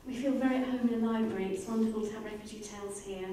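A middle-aged woman giving a measured, earnest prepared talk as a charity speaker. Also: on the high side, fairly even intonation, dark tone. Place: indoor library event space with audience. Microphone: handheld microphone. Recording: very echoey room, distant, faint noise.

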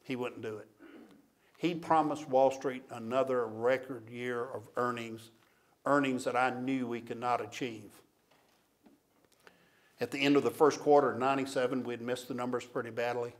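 Older male speaker, mid-pitched; plainspoken, confessional storytelling from a podium. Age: 60s or older. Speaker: keynote speaker. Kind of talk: storytelling public lecture. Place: auditorium stage at a lectern. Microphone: podium gooseneck microphone.

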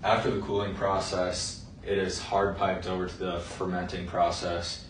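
A young man, a student, narrating a class project in a slow monotone with many ums. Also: deep voice. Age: young adult. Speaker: student presenter. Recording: roomy, distant mic, faint noise.

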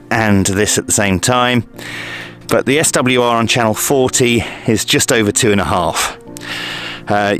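A man's voice: fairly low pitch, a bit bright. A middle-aged man presenting a casual hobby tutorial, explaining step by step in a hesitant way.

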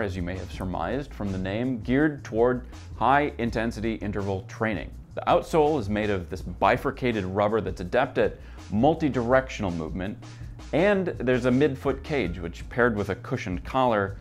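A man in his thirties hosting a scripted gear news roundup, seated at a desk in an office and talking briskly from his notes. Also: medium pitch. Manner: brisk, upbeat presenter reading from notes.

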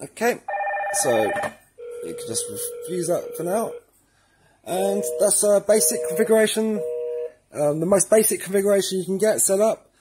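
A man presenting a casual step-by-step tutorial with a slightly husky voice, informally talking through each step as he does it. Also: high voice, lively intonation, moderate pace, long pauses, noisy background.

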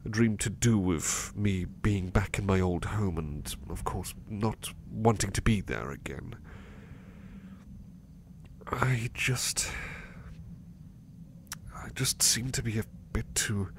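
A man playing a gentle, anxious, sleepy butler in a soft-spoken ASMR roleplay, hesitant and apologetic as he stammers. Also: fairly low pitch, animated, slow pace, long pauses. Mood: uneasy, reluctant. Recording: faint background noise.

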